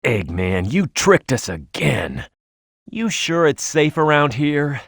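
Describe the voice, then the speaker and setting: A man's voice: a little high, very animated, slow, vocal fry, a bit bright, dry recording, clean studio sound, full character voice. A man voicing a tough, hot-headed young echidna hero in a video game, speaking emphatically and with cocky confidence. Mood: curious, alert. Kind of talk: video game character voice lines, tutorial hints and battle shouts.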